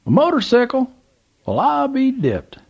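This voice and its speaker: A man voicing an excitable, toothless old Southern man who drawls and exclaims in a high voice. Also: unhurried, gravelly, breathy edge, muffled recording, heavily acted. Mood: excited.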